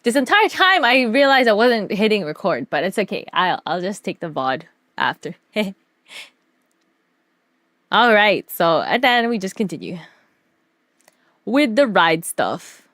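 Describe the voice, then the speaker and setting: A woman streamer telling stories casually over a slideshow, animated, giggly and slangy in her delivery. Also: mid-range voice, unhurried, long pauses, no room sound, studio quality. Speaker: streamer and artist.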